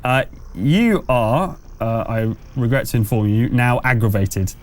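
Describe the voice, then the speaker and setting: A man running a tabletop game as game master, narrating and refereeing conversationally, pausing as he thinks aloud. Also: mid-range voice, lively intonation, a little hiss or hum.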